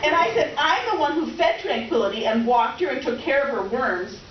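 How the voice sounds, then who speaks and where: High-pitched, theatrical oversharing houseguest played by a woman. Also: very animated, a little fast. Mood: indignant. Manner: rambling, self-absorbed, theatrical. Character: dramatic, oversharing, scatterbrained middle-aged woman houseguest. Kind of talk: comic stage reading. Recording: distant echoey room, muffled, faint noise.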